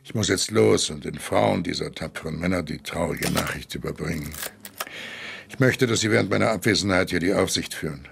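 An actor playing a stern, vengeful, paternal sheriff, grave and authoritative, in a German radio drama. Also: male voice, low-pitched, a little fast, vocal fry. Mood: grim, commanding.

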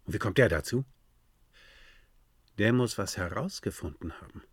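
An actor playing an anxious, fatherly sound analyst, cautious and flustered, in a German science fiction radio drama, with a raspy voice. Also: lowish voice, animated, normal speed, no room sound, clean studio sound. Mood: startled, puzzled.

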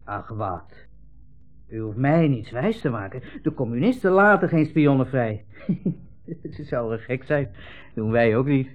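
A man's voice: mid-range voice, lively intonation, measured pace, pauses often, close to an everyday voice. An actor playing a smug, insinuating army captain in a 1960s radio play, hemming as he puts condescending, leading questions. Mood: smug coaxing. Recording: muffled old radio recording, narrow band.

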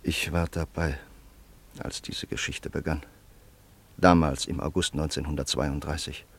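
Radio play: a loyal old friend, deep, husky, slow and grave. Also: male voice, steady tone, fairly bright tone, heavily acted. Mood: solemn remembering. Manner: measured, grave narration. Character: solemn middle-aged man, loyal old friend. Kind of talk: 1930s period radio drama.

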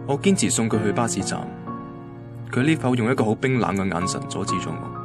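An actor playing an earnest, devoted young boyfriend in a Hong Kong Cantonese radio drama, speaking colloquially and sounding quietly vulnerable. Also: male voice, fairly low pitch, animated, brisk. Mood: wistful, hurt. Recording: music bed under the voice.

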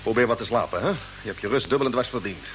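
A man's voice: on the low side, fast, lightly acted. A man acting a decisive, fatherly space expedition captain in mid-century radio drama, authoritative and reassuring. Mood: firm, reassuring. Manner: authoritative, reassuring, ends phrases with questioning tags. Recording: noisy old radio drama recording.

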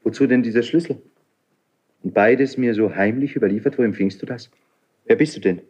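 An actor playing a highly strung young crown prince in a classical radio play, declaiming intensely with a husky voice. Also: male voice, brisk, a little mellow, highs cut off, close to an everyday voice. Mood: agitated, suspicious. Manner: impulsive, intense, theatrically declaiming. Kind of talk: classical German radio play stage acting.

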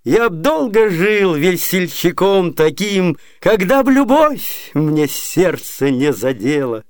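Cheeky servant trickster, high voice, swooping intonation, boastful, theatrical radio comedy. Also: male voice, heavily acted. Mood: playful declaiming. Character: cheeky, quick-witted male servant trickster. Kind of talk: classic comedy radio play acting.

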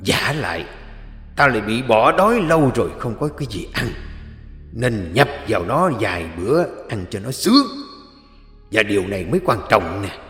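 A man's voice: mid-range voice, fairly bright tone, roomy sound, long reverb tail. A man voicing a hungry demon that possesses a woman, taunting and cackling between lines.

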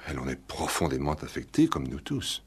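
An actor in a 1960s French radio play voicing an educated, suave gentleman, formal and guarded, with articulate deliberate speech. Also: a bass voice, big pitch swings, husky, heavily acted. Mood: guarded, hesitant.

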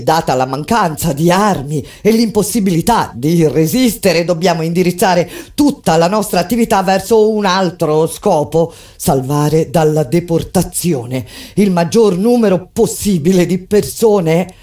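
An actress playing a grave resistance fighter, delivering a solemn, declamatory theatrical monologue as a recollection of an uprising. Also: female voice, lowish voice, warm tone, natural voice. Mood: grave, urgent. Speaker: stage actress narrating. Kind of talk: dramatic theatrical monologue with live music. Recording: music under the voice. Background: music.

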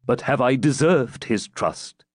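A man's voice: mid-range voice, slow, no room sound, clean studio sound. A man voicing a proud, stern Norman knight in an audiobook, with theatrical archaic speech. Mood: sullen submission. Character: defiant proud Norman knight commander, beaten into sullen submission.

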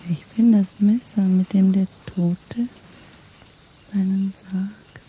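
A solemn female angel of despair, voiced by a woman, whispers with a low, dark tone. She moves at a crawling, ritual pace, like an incantation in a radio play. A faint hiss sits under her voice. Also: steady tone, close to an everyday voice. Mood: ominous whisper. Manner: hushed, slow, incantatory whisper.

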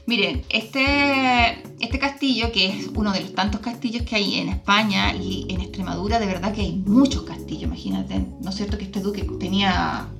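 A woman's voice: mid-range voice, animated, moderate pace, few pauses. Chatty Chilean woman podcaster over music. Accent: Chilean Spanish. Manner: chatty, informal, prompts her guest with questions. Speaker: podcast host. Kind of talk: casual chat, travel podcast.

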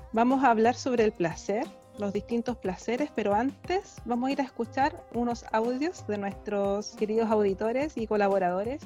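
A woman's voice: on the high side, darkish tone. A woman co-hosting a podcast in casual, conversational style. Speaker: podcast co-host. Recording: dull lo-fi audio, music underneath.

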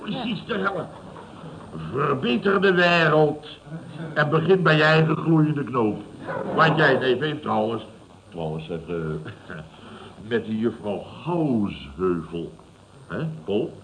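An actor playing a boastful, boisterous builder boss who tells stories in a repetitive, blustering way in a radio comedy. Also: male voice, medium pitch, lively intonation, slow pace, crowd noise. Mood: amused. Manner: blustering, repetitive storyteller acting out quotes. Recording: dull old radio recording, studio audience.

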